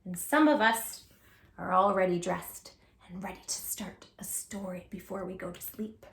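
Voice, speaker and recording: A woman in her forties reading a picture book aloud at home, sing-song and animated, asking the listener questions. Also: on the low side, normal speed, vocal fry, echoey room. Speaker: children's book reader. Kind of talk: read-aloud with tips for parents. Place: home room with posters.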